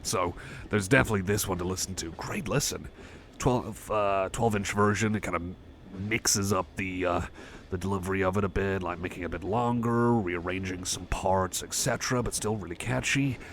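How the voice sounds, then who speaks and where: A man playing a shy, friendly cyborg music fan, chatting softly and hesitantly in a casual ASMR roleplay. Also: low voice, normal speed, slight background noise. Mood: shy, enthusiastic. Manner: hesitant, rambling, self-effacing.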